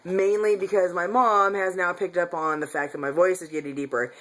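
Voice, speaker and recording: A man's voice: high-pitched, steady tone, brisk, a bit bright, rough recording. A man talking candidly in a casual personal vlog, rambling with frequent restarts and self-corrections. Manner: candid, confessional. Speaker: vlogger.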